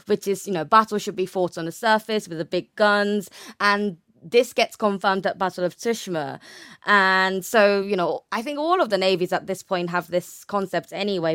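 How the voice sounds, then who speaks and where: Clear-voiced young woman explaining, close and dry. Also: mid-range voice, fairly bright tone, no room sound. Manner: hesitant phrasing, frequent hedging and self-correction. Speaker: interview guest, naval history researcher. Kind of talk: conversational academic explanation.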